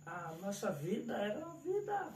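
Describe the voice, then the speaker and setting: A man's voice: high voice, wildly swooping pitch, moderate pace, a little mellow, far from the mic. Plainspoken man with an Alagoas accent. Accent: Alagoas Northeastern Brazilian. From Alagoas, Brazil. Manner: plainspoken, respectful, keeps addressing the pastor. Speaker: evangelist guest. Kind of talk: testimony interview answers. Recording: echoey room from afar with faint noise.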